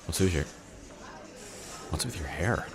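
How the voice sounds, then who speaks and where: An actor playing an impatient adult son in a comic audio play, sarcastic and irritable. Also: male voice, sits low, animated, measured pace, noisy background. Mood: irritated. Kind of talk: comedy audio drama acting.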